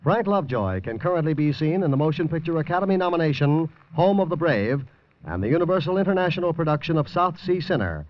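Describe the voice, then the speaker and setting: A man reads the closing credits as a radio announcer, crisp and formal, with a slightly raised pitch. The sound is dry and dull, an old 1950s radio broadcast. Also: sing-song, fast talker, no echo.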